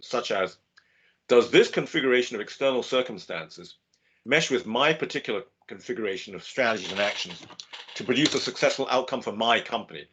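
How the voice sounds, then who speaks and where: An older man, a business professor, giving a measured webinar lecture with occasional fillers and restarts. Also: mid-range voice, fairly bright tone, breathy edge. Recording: dull lo-fi webinar audio.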